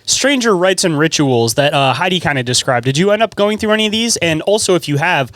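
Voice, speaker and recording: Male podcast host, slightly high voice, very quick, casual and roundabout, close dry sound. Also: animated, a bit bright, no echo. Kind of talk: casual conversational interview.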